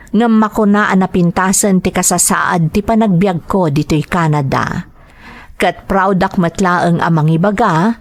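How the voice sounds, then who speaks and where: A woman's voice: fairly low pitch, dry recording. A woman with an Ilocano accent reading greetings and letters as a radio-style podcast host.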